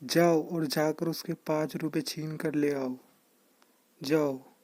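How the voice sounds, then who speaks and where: Woman playing a slow, mellow, imperious king. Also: deep voice, fairly even intonation, no echo. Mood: angry, commanding. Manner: imperious, commanding. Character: irritable, commanding male king who gives harsh orders. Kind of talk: children's cartoon story voice acting.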